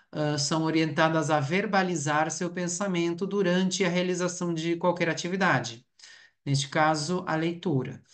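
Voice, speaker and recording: A man speaks as an academic researcher at a conference. His delivery is formal and explanatory. He hesitates often as he sets out each point. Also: fairly high pitch. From Uruguay.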